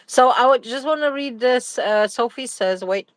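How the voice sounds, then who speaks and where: A woman's voice: on the high side, normal speed, no room sound. A middle-aged woman with a Polish accent, chatting casually on a livestream, hesitating often and reading viewer comments aloud. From Poland. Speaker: livestream co-host. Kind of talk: casual live chat.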